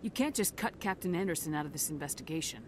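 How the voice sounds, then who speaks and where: Resolute, low-voiced military commander. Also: female voice, animated, quick pace, a little hiss or hum. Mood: businesslike. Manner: firm, direct, controlled. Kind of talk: dramatic video game dialogue.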